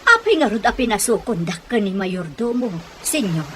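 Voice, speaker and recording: A woman's voice: medium pitch, sing-song, normal speed, a bit bright, slight background noise. A woman playing a protective, defiant poor mother in an audio drama. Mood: protesting, questioning. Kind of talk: Ilocano audio drama acting.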